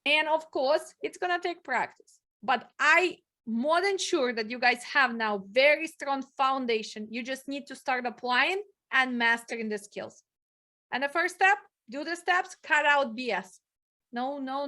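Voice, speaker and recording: A woman's voice: high voice, a little slow, sharp tone. A woman with a Ukrainian accent, a dispatch instructor coaching students in a live class, direct and pushy, asking rhetorical questions.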